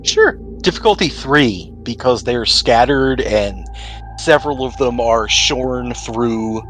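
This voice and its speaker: A man's voice: medium pitch, expressive, slow pace, a bit bright, low-quality recording. A man acting as game master, narrating a tabletop roleplaying game in a casual, improvised way. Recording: music playing under the voice. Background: background music.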